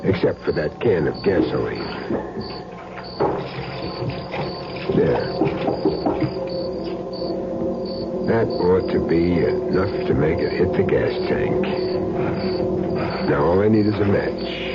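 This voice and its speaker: Hard-boiled hired gun: deep, swinging inflection, wry and terse, old radio crime drama. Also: male voice, animated, a little slow, loud music behind the voice. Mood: wry, methodical. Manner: terse, cool, sardonic. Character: calm, hard-boiled male hit man with wry streak. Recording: noisy muffled old radio recording.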